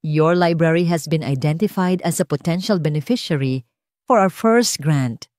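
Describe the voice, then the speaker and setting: A woman's voice: sits low, moderate pace, darkish tone, no room sound, close to an everyday voice. A businesslike female foundation representative from an audiobook, acted by a woman narrator. She speaks formally, and the recording is very dry. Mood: formal, polite.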